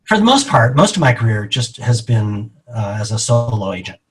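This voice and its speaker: Measured middle-aged man, medium-pitched voice. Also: very animated, breathy edge. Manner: measured, deliberate explaining. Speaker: real estate agent being interviewed. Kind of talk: explanatory interview answers.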